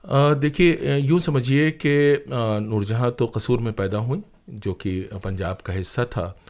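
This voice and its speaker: A man with an Indian accent talking as an interview guest, telling stories in an animated, emphatic, conversational way. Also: mid-range voice, measured pace. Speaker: interview guest, broadcaster and playwright.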